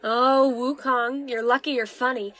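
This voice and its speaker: A woman's voice: on the high side, steady tone, unhurried, a bit bright. A woman playing an older tavern keeper, motherly, teasing and chatty, in a fantasy audio drama. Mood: friendly, chatty.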